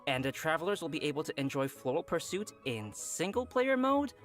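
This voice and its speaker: A man's voice: on the high side, very animated, a little fast, fairly bright tone, soft background music. A man hosting a game update program, reading announcements with upbeat, playful asides. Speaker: program host. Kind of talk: reading a scripted event announcement.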